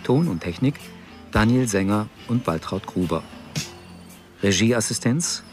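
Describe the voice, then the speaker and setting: A man reading scripted radio drama credits as an announcer, formal and measured. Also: lowish voice, expressive, a little mellow. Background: music.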